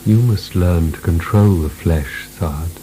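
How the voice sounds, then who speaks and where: An actor playing a ghostly philosopher in a radio drama, measured and quietly insinuating as he needles and taunts. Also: low-pitched, soft background music, poor audio. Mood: calm, needling. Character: phantom philosopher companion, calmly needling, probing, superior.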